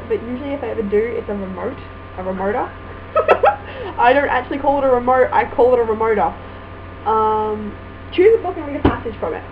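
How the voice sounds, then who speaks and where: A giggly young woman with a somewhat high voice, talking in a goofy, self-mocking way as she works through a vlog challenge. The webcam sound is muffled, with a clear mains hum underneath. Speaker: vlogger. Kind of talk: casual chat and reading aloud.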